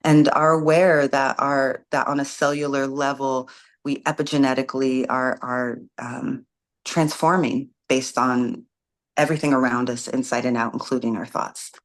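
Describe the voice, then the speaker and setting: A woman's voice: extremely low pitch, steady tone, moderate pace. A woman guest in an interview, talking thoughtfully about her community organizing work, with frequent ums and restarts. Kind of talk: casual interview conversation.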